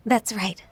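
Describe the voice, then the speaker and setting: A woman playing a gentle, earnest, young-sounding secretary in a video game, speaking politely and softly formal with some hesitance. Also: fairly high pitch. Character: gentle, earnest young-looking female secretary, thousands of years old. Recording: music behind the voice. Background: music.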